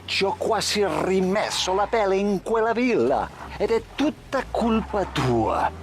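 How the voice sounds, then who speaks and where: A proud, exasperated Italian gangster in a crime game. His pitch swings widely as he scolds, and he speaks slowly and deliberately. Clear road noise runs behind him. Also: very animated, full character voice. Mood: scolding. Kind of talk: 1930s gangster game dialogue.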